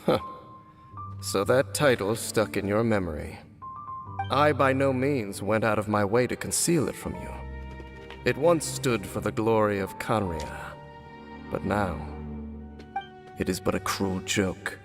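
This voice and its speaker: An actor playing a world-weary, cursed former royal guard captain in a video game, formal, measured and guarded. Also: male voice, on the low side. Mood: bitter, grave. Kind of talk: dramatic video game voice acting. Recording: long reverb, music behind.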